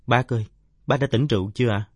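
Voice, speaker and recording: A man's voice: medium pitch, quick pace, husky, dry recording, studio quality, pitched above the performer's own voice, natural voice. A man voicing a polite but persistent young boy who argues respectfully in a story.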